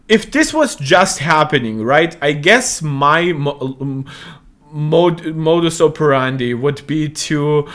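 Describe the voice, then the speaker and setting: A man's voice: on the high side, fairly bright tone. Chatty young man. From Moldova. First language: Russian. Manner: rambling, many fillers and self-corrections. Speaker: tech channel host. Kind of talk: casual commentary monologue.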